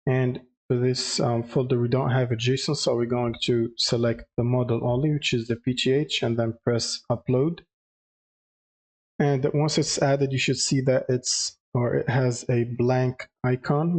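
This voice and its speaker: A man narrating a step-by-step software tutorial with hesitant, halting phrasing. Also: mid-range voice. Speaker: tutorial presenter.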